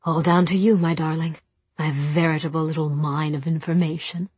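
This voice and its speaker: Suave young gentleman, read by a female narrator: low, husky, softly condescending, possessive audiobook dialogue. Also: fairly even intonation, normal speed, no room sound. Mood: intimate, admiring.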